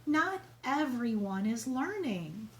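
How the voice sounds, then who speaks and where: A woman's voice: a little high, very animated, takes it slowly, slight background noise. A woman voicing a polite, frank young schoolgirl in a matter-of-fact way for a children's storybook. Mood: matter-of-fact, candid. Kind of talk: children's storybook read-aloud.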